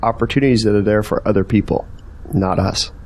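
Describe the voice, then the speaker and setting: A man's voice: lowish voice, a little fast, slight background noise, lightly acted. Earnest man, searching and persuasive, questioning manner, audio drama dialogue, acted by a man. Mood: earnest, resolute.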